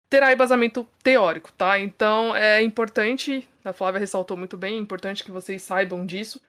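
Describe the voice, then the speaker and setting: Brief: thirtyish São woman co-host, mid-pitched, talkative and friendly with the audience. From São Paulo, Brazil. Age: 30s. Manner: chatty, laughs often, frequent tag questions. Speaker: podcast co-host. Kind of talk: casual podcast chat.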